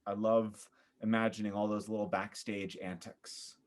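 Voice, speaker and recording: Expressive man in casual conversation. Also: fairly low pitch, moderate pace. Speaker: podcast guest; former actor.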